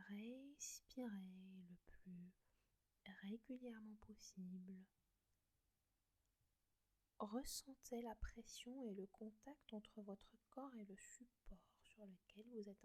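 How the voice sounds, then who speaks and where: Female meditation guide, breathy whisper, slow and mellow. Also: medium pitch. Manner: soft slow whisper, gentle instructions.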